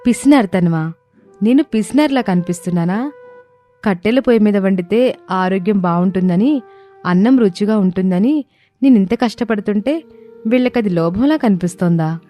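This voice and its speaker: A woman's voice: medium pitch, animated, moderate pace, long pauses, warm tone, faint music behind, natural voice. Woman playing a dutiful, firm young daughter-in-law. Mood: indignant.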